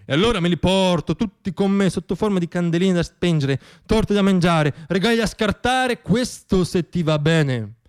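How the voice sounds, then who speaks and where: A man performing a comic theatrical monologue for radio, sardonic and self-mocking, with emphatic pauses and repeated words for effect. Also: sits high, clear, resonant tone. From Italy. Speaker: monologist performing own piece.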